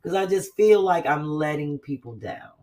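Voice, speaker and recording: A woman hosting a casual chat, talking in an animated, blunt, profane way with quick asides. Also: sits low, far from the mic. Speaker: host.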